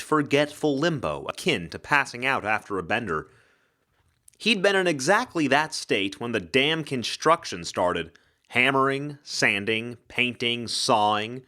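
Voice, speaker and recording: Animated male storyteller reading mystery fiction. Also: medium pitch. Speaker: actor reading an audiobook-style story. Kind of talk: dramatic scripted narration of hard-boiled ghost mystery.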